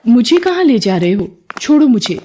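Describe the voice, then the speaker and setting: A woman's voice: fairly high pitch, big pitch swings, moderate pace, mellow, a little hiss or hum, pitched above the performer's own voice. A woman playing a devoted young bride in a storybook tale, earnest and insistent. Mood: alarmed. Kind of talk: acted devotional storybook dialogue.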